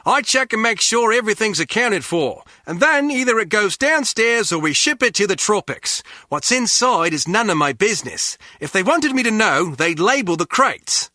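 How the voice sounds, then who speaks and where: A man's voice: sits high, sing-song, normal speed, few pauses, bright, forceful tone, no room sound, studio quality, full character voice. An actor playing a curt, defensive henchman who minds cargo, in comic video game dialogue. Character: brusque, incurious male henchman minding cargo.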